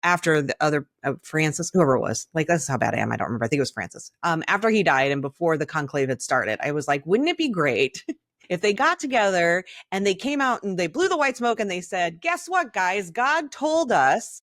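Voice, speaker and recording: A woman's voice: lowish voice, lively intonation, a little fast, a bit bright, no echo, studio quality. A middle-aged woman co-hosting a podcast, talking candidly and casually in an opinionated, blunt way and swearing freely. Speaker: podcast co-host.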